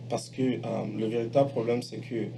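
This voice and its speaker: A middle-aged man giving a personal testimonial as an entrepreneur, speaking in a halting, deliberate way and repeating phrases for emphasis. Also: medium pitch, flat delivery. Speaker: entrepreneur, electronics technician by training. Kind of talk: personal testimonial, explaining his career. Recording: clearly noisy background ambience.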